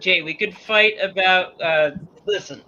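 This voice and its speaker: A man hosting a casual podcast chat with friends, excitable and teasing, often talking over others. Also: high-pitched, unhurried, dull audio, background noise. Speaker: podcast host.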